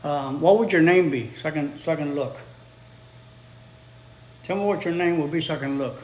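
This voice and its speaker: A man's voice: a little high, normal speed, darkish tone, muffled recording, a little hiss or hum. A man whose first language is Spanish, teaching English and chatting casually with viewers on a livestream, often repeating his phrases for learners. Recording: roomy echo, faint ambience.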